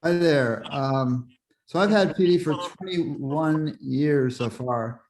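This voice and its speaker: A man joining a panel over a video call to ask a question, speaking in a halting way and searching for words. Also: fairly high pitch, a little mellow, low-quality recording. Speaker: audience member asking a question.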